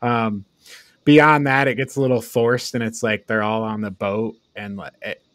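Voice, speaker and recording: A man's voice: on the low side, fairly even intonation, normal speed, fairly bright tone, no room sound. A man talking informally as a panelist in a casual film discussion. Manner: informal, prone to chuckling and swearing. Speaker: podcast panelist reviewing films.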